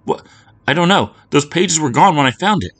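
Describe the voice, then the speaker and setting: A man's voice: mid-range voice, very animated, normal speed, a bit bright, dry recording, voice pushed up. A man voicing a timid, frightened young girl who stammers and hesitates. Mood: panicked.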